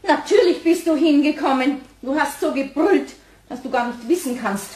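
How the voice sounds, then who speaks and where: An actress playing a bossy, exasperated wife scolding dramatically in a German comic radio play. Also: female voice, high-pitched, normal speed, darkish tone, natural voice. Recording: roomy echo, noise, clicks.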